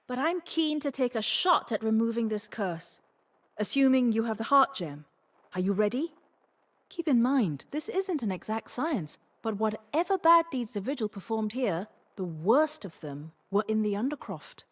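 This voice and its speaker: An actress playing a determined young occult expert in a video game, explaining in an earnest, businesslike way. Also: female voice, a little high, sing-song, moderate pace. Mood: earnest, resolute. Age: young adult. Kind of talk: video game character dialogue.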